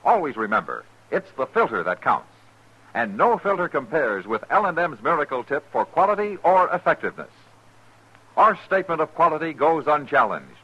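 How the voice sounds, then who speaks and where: An American man reading a sponsor's commercial as a 1950s radio announcer, with an emphatic sales pitch. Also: sing-song, moderate pace, fairly bright tone, slightly rough. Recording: dull 1950s radio recording.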